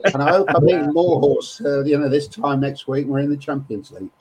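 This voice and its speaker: A man, a guest on a casual chat show, hoarse and hesitant, often repeating words. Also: a little high, fairly quick, darkish tone, low-quality recording.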